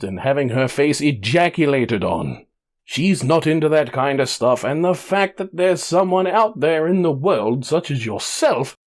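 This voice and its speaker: A man giving a dramatic reading as an earnest, lovestruck, indignant fiancé, speaking emphatically in formal phrases. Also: mid-range voice.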